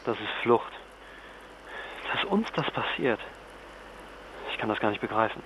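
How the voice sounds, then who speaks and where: A man's voice: on the low side, lively intonation, unhurried, highs cut off. An actor playing a shaken, worried father caught in a disaster, speaking in a troubled, emotional way in a naturalistic radio drama. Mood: stunned, weary. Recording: loud ambient noise behind the voice.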